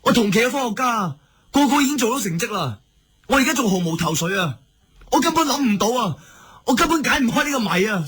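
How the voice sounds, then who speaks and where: A man's voice: very high voice, very animated, fast, fairly bright tone, slightly rough, heavily acted. A man with a Hong Kong Cantonese accent playing a frustrated, insecure scientist husband in a radio drama, agitated and anguished. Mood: desperate.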